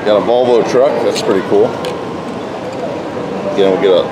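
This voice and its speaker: A man hosting a casual walk-around interview at an indoor farm toy show, chatty and relaxed with many fillers, recorded on a handheld camera mic. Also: lowish voice, normal speed. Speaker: show host filming a toy display. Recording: loud background noise in a show hall.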